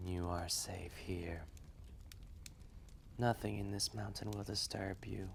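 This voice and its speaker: A man acts out an ancient male dragon, gentle and wise, in a storytelling roleplay. The voice is low and very slow, often sinking into a breathy hush, with a kindly, measured manner. A faint noise sits behind it. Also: steady tone, full character voice. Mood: soothing.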